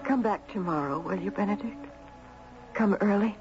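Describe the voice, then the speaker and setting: A woman playing a grieving mother in a 1970s radio drama, telling her story in a halting, dramatic way. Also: mid-range voice, close to an everyday voice. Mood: quietly urgent. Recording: dull 1970s radio broadcast, faint music.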